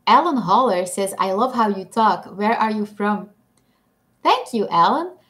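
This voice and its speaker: A woman's voice: mid-range voice, expressive, measured pace, a bit bright. A young woman from Belgium whose first language is Dutch, speaking English with a Belgian Dutch accent as she chats casually on a live stream, reading comments, laughing often and poking fun at herself. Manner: chatty, giggly, self-deprecating.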